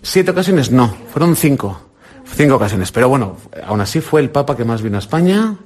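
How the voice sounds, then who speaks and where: A man's voice: medium pitch, very animated, darkish tone. A middle-aged man with a Spain Spanish accent, a radio presenter running an encouraging quiz with a children's group. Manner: encouraging, quizzing with quick questions. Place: indoor visit with a children's group.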